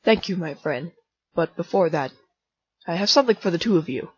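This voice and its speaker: A woman's voice: fairly low pitch, expressive, fairly quick, husky, no echo, highs cut off. Woman voicing Grillby, a polite fire-monster bartender. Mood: kind. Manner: polite, formal phrasing with no contractions. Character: formal, gentle adult male fire-monster bartender. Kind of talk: fan comic dub.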